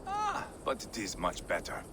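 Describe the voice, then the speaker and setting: A brutal Viking warrior in a video game cutscene. His low voice swings widely as he sneers, mocks and bullies, with clear noise behind him. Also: huge pitch swings, moderate pace. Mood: mocking. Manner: sneering, menacing, mocking. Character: brutal, foul-mouthed, bullying male Viking warrior.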